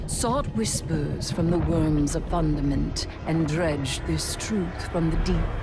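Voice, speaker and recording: An actress voicing a grim woman who wields dark power, speaking in slow, solemn, ritual declarations in a game cutscene. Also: sits low. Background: loud music score.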